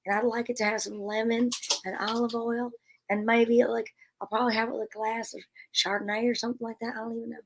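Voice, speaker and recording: A woman's voice: fairly high pitch, little pitch movement, fast talker, poor audio. A woman doing a comic impression of a fussy, drawling film actress on a livestream. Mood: fussy, low-key.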